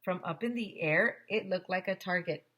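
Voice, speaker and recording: A woman voicing an excitable, flustered male school principal from a children's storybook, in an exclamatory manner. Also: fairly low pitch, normal speed. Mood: confused.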